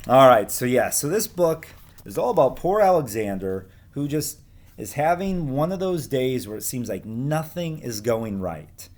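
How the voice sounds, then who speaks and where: A man's voice: medium pitch, very animated, measured pace, darkish tone. A man with an American accent talking informally and encouragingly to his pupils as a teacher. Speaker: school teacher. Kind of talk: casual talk to pupils, read-aloud.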